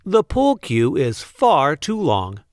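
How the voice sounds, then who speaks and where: Male storyteller for a picture book: lively, swooping intonation, unhurried delivery, playful emphasis, close dry sound. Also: on the high side, no room sound. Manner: deliberate, playful emphasis on repeated sentences. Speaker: read-aloud storyteller. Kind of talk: expressive picture book read-aloud.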